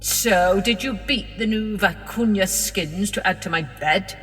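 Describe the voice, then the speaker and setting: A woman's voice: mid-range voice, a little slow, fairly bright tone, in a large hall, natural voice. A woman storyteller plays a cruel condor master from a children's tale. The bird is harsh and menacing, forever scolding and giving orders. Music plays behind the voice. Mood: stern, threatening. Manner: bossy, scolding, commanding.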